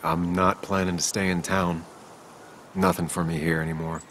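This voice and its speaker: An actor playing a weary, remorseful journalist in a video game, plain-spoken and subdued. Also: male voice, sits low, little pitch movement, normal speed, a bit bright, a little hiss or hum. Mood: somber, resigned. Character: weary, remorseful male journalist grieving a loss. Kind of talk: video game cinematic dialogue.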